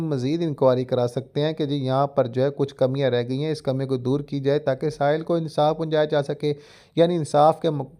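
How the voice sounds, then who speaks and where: A man's voice: a little high, a little mellow. A man with a Pakistani accent lecturing formally on law, explaining as he reads from notes and mixing in English legal terms.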